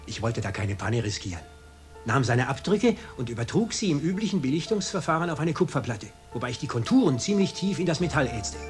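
A man's voice: mid-range voice, big pitch swings, brisk, lightly acted. An actor playing a proud fingerprint expert in a radio crime drama, explaining his craft precisely and boastfully. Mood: proud, eager. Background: music.

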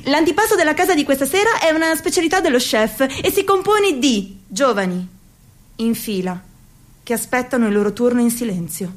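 A woman's voice: high voice, lively intonation. An actress in her thirties playing a bitter, ironic young waitress in a theatrical monologue. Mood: sardonic. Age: 30s. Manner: sarcastic, measured delivery. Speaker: actress in a radio theatre piece.

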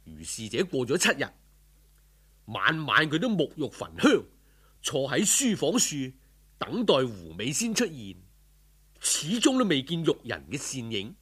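Husky Cantonese male storyteller, animated pitch. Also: unhurried. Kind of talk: dramatic folk-tale narration.

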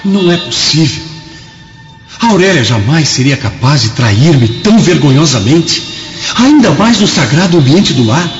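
An actor playing a noble, troubled Roman soldier and husband in a melodramatic radio play, with formal, theatrical delivery. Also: male voice, fairly high pitch, big pitch swings, moderate pace. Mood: shocked disbelief. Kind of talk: melodramatic radio drama acting. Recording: dull radio drama audio with music.

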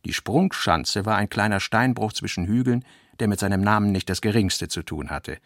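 Gravelly, low-voiced male narrator. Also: normal speed, dry recording, clean studio sound. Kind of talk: literary narration in a radio play.

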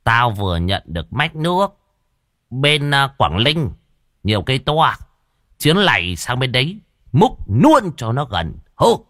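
A man playing a jolly, greedy, crude old trader with an excitable, slangy manner. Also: medium pitch, measured pace, no room sound, studio quality, pitched above the performer's own voice. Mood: eager scheming.

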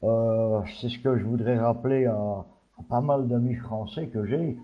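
A man's voice: mid-range voice, fairly even intonation, measured pace, highs cut off. A man from Belgium giving his opinions in a panel discussion, hesitant with many fillers. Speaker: panel guest.

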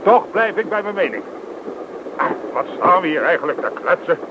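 An agitated man argues emphatically in a radio play. His voice sits a little high, on a dull recording with loud noise behind it. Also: big pitch swings, moderate pace. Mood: urgent.

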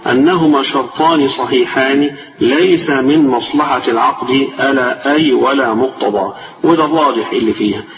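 Tired-voiced Egyptian sheikh in an echoey hall. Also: male voice, mid-range voice. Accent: Egyptian Arabic. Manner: reads a text slowly, then explains it in colloquial speech, repeating key phrases. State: tired, strained voice. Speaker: sheikh teaching a class. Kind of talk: religious lesson, reading a text and explaining it. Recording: distant, echoey, dull-sounding lecture recording with music.

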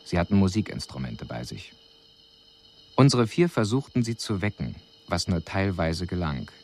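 An actor playing a detached alien envoy who delivers a formal, precise, clinical report in a radio drama. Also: male voice, sits low, very animated, normal speed, darkish tone, heavily acted. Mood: clinical, observing.

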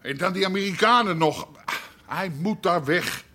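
Blustering, high-pitched crime boss. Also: male voice, normal speed, pushed and bright. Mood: grumbling, demanding. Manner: cursing, blustering, impatient. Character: gruff, hot-tempered Amsterdam underworld boss. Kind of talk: radio drama acting.

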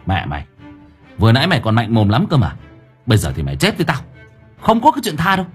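A man's voice: medium pitch, huge pitch swings, quick pace, faint music behind. A man voicing a hot-tempered, foul-mouthed young boy exorcist in a storybook, blunt and threatening. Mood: furious.

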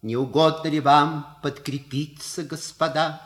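A man's voice: on the high side, fairly even intonation, unhurried, a bit bright. A man acting a dignified, deferential manservant with formal, grandiloquent speech in a radio play. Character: dignified, unctuous manservant, loyal and ceremonious.

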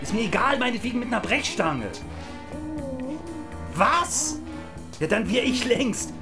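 A man's voice: extremely high pitch, very animated, measured pace, music in the background, heavily acted. A man acting in a German radio drama as a cynical, hot-tempered radio reporter in his thirties, pompous and given to coarse cursing. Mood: furious, shouting. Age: 30s.

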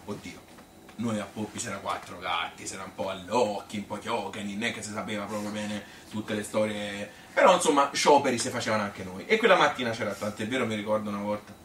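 A man's voice: lowish voice, brisk, a bit bright, natural voice. Man playing a chatty Tuscan woman, echoey distant recording. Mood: amused, nostalgic. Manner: rambling, folksy, filler-laden. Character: chatty, self-amused Tuscan woman reminiscing about courtship. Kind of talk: theatrical monologue, colloquial storytelling. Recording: echoey room, distant mic, hissy noise.

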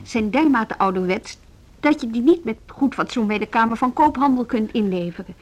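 A principled, strong-willed school deputy in a radio drama. Her voice sits a little high, and she speaks Netherlands Dutch in a formal, articulate, pointed way. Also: expressive, moderate pace. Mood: pointed, resolute. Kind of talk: Dutch radio play dialogue.

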